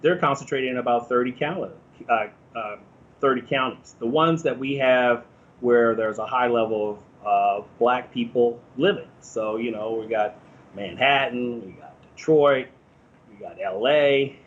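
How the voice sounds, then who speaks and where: A man's voice: mid-range voice, unhurried, highs cut off. An American man speaking informally as an interview guest, explaining his opinions in a conversational way.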